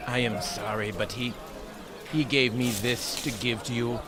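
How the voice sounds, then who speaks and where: An actor playing a gentle, sorrowful middle-aged jailer and father, halting and emotional, in an audio drama. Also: mid-range voice, fairly even intonation, crowd noise, natural voice. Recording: echoey room, loud music bed.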